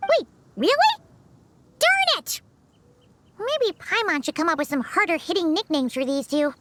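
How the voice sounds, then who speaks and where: A woman's voice: very high voice, big pitch swings, normal speed, a bit bright, a little hiss or hum, exaggerated voice. A woman voicing a tiny floating female companion in a video game, brash and chirpy, referring to herself by name. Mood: cheeky. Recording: game audio with background music.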